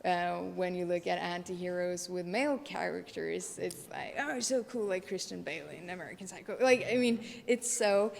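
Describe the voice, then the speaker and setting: A woman's voice: lowish voice, fairly even intonation, normal speed, gravelly, hardly any pauses, a little hiss or hum. A woman from Norway, an actress, talking animatedly with fillers and quoted reactions.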